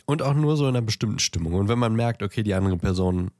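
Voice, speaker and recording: A man's voice: lowish voice, lively intonation, quick pace, a little mellow, dry recording, studio quality. A man with a head cold, chatting casually as a podcast co-host and often searching for words. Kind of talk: casual banter. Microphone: close podcast microphone.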